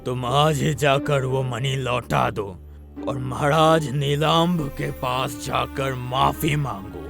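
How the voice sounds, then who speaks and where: A man playing a grave village holy man in a cartoon, giving instructions in a deliberate, creaky voice. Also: mid-range voice. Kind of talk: Hindi moral-story cartoon acting. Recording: music behind the voice.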